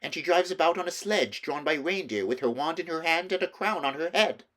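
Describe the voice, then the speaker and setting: A man voicing an innocent, chattering young girl with excited enthusiasm in a storybook. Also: fairly high pitch, brisk, pitched above the performer's own voice. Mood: earnest, indignant.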